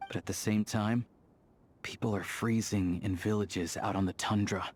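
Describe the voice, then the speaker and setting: A man's voice: lowish voice, steady tone, moderate pace, a little mellow, a little hiss or hum, close to an everyday voice. A man voicing a hardy, principled young man of the snowfields in a video game, speaking in an easygoing, plain-spoken way. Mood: reflective, sincere. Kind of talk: video game character voice acting.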